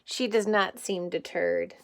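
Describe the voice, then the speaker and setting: A woman's voice: medium pitch, slow pace. A woman teacher reading a picture book aloud to young children, expressively, explaining things simply and asking questions. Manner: asks listeners questions, explains words simply; expressive storytelling, often chuckling. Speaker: kindergarten teacher.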